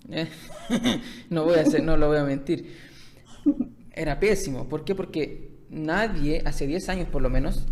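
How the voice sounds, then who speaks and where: A man's voice: on the high side, vocal fry, a little mellow. A man from Chile hosting a podcast interview over a video call, joking and laughing often. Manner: laughs often, jokes, restarts words. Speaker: podcast host.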